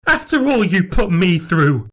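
A man doing a shrill, furious old grandmother. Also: sing-song, slow pace, gravelly, a bit bright, heavily acted. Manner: shrill, indignant and cutting. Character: bitter scheming old grandmother, sharp-tongued. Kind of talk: audiobook character voice.

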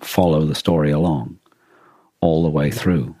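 A low-voiced man co-hosts a casual podcast discussion. His tone is dark and rough-edged rather than crisp. He explains things thoughtfully and a little hesitantly, in a very dry, close recording. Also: steady tone, a little slow, dry recording. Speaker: podcast co-host.

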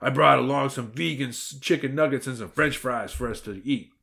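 A man's voice: mid-range voice, fairly quick, gravelly, sharp tone, pitched above the performer's own voice. A man voicing a bossy, fierce ten-year-old girl hero with a commanding, brash, emphatic delivery. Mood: reassuring.

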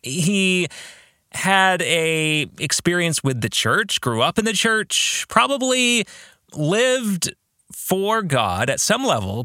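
A man hosting a podcast, giving reflective religious commentary in an earnest, deliberate way with rhetorical questions. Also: on the high side, sharp tone, no room sound, studio quality. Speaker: podcast host.

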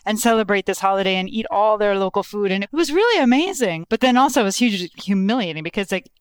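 A woman's voice: mid-range voice, quick pace, clean tone, no room sound, clean studio sound. A woman guest author, raised speaking Lithuanian at home, telling stories in a casual interview, laughing often and acting out voices from her childhood. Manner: animated storyteller, laughs often, acts out quotes.

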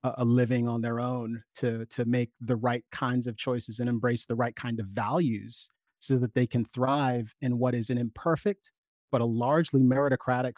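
A man speaking as an interview guest, making an explanatory argument in measured, articulate long sentences. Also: medium pitch, a little mellow, no echo, clean studio sound.

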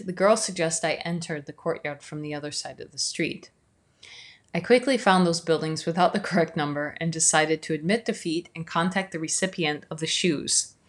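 Thoughtful woman, low-toned reflective narration. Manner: measured, thoughtful, some words drawn out slowly. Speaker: video essayist.

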